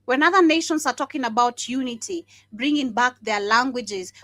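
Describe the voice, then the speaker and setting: A woman's voice: a little high, a bit bright, no echo. A woman hosting a casual commentary broadcast, scornful and emphatic, laughing now and then. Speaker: host.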